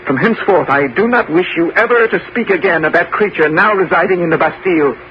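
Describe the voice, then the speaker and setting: A man acts out a young French king, tender-hearted but commanding. His fairly high voice is earnest and stately, in the theatrical manner of 1970s radio drama. The broadcast is dull with faint noise. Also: fast, close to an everyday voice. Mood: pained command.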